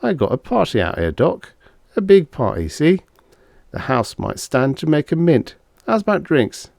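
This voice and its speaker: A man's voice: fairly high pitch, big pitch swings, normal speed, vocal fry, darkish tone, no room sound, clean studio sound, voice pushed up. A man voicing a tipsy, jovial, brash gambler in an audiobook, with slangy, folksy bravado. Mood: wheedling, expansive. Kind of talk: audiobook character voice.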